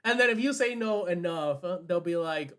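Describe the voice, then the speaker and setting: A man's voice: high voice, lively intonation, moderate pace, fairly bright tone, smooth. A man from Puerto Rico co-hosting a podcast in casual, slangy chat, swearing freely and acting out dialogue. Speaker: podcast co-host.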